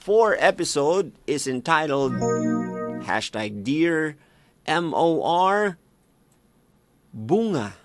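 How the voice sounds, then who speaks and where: A man's voice: fairly high pitch, very animated, extremely slow, pauses often. A man with a Visayan Filipino accent hosting a casual radio advice show, chatty and playful, mixing in English. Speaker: radio host. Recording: loud music bed behind the voice. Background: music with guitar and keyboard.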